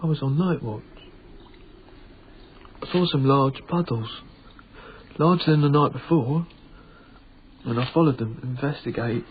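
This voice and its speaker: A man's voice: mid-range voice, unhurried, pauses often, natural voice. An actor playing a frightened, pious Victorian night watchman who speaks formally, deferentially and hesitantly in a radio drama. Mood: haunted, fearful. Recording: tinny band-limited radio broadcast, noisy background.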